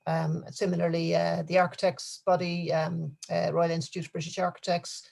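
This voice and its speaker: A host leading a career conversation, speaking in a halting way with many fillers. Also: medium pitch, monotone, gravelly, darkish tone. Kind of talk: hosting a career talk.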